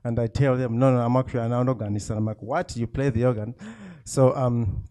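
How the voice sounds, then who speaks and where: Mellow-voiced man, chatty author talk. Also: mid-range voice, level tone, fairly quick. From Uganda. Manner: chatty, self-deprecating, laughs often, many fillers. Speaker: author speaking at a book event. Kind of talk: casual talk to a live audience.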